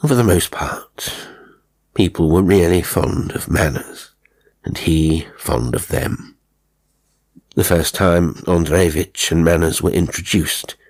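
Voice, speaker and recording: Deep-voiced male horror narrator. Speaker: audiobook narrator. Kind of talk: scripted horror story narration.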